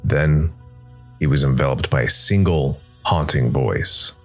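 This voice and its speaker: A man hosting and narrating a scripted story podcast in a measured way, with dramatic pauses. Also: very deep voice. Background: soft music bed.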